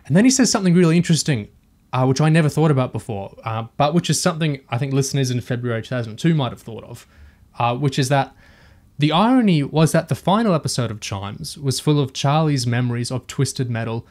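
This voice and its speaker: A man's voice: mid-range voice, animated, fast talker, a little mellow. Man musing casually on a podcast. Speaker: podcast panelist discussing audio drama. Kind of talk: casual discussion.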